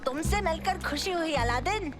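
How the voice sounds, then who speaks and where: A woman voicing an earnest, expressive young boy, a helpful friend, in an animated cartoon. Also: very high voice, moderate pace. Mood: theatrical, scheming. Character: eager, reassuring young boy, helpful friend acting in a play. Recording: music behind the voice.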